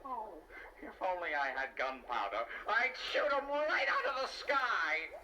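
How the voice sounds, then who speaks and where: A man voicing a scheming, hot-tempered little villain in a children's audio storybook, ranting in a shrill, whiny way. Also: big pitch swings, measured pace, sharp tone, exaggerated voice. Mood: exasperated, whining. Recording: noisy, distant old cassette transfer.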